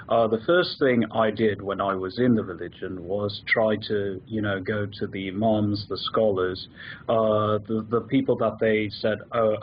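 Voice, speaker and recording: A man calling in to a discussion show, explaining his views with many pauses and restarts. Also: fairly low pitch, highs cut off, a little hiss or hum. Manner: hesitant, many fillers and restarts. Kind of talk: call-in discussion.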